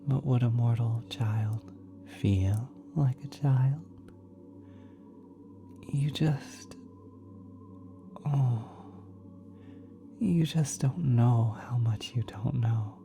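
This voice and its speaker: Man voicing a hushed, dark-toned celestial being. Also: medium pitch, faint music behind. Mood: patient, explaining. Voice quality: soft, breathy, often whispered. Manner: tender, halting, gently coddling. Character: kind, doting ancient celestial being, ethereal and motherly. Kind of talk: ASMR roleplay acting. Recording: cosmic ambient sound effects behind.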